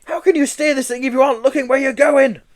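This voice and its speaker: A man's voice: very high-pitched, very animated, normal speed, darkish tone, voice pushed up, exaggerated voice. A man voicing a plucky young boy who snaps urgent orders. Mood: urgent bossy. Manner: urgent, snappy, bossy.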